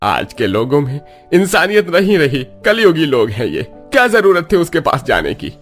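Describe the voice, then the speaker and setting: A man's voice: on the high side, wildly swooping pitch, moderate pace, soft background music. An actor playing a melodramatic village man in a cartoon drama. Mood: indignant. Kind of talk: Hindi cartoon dubbing.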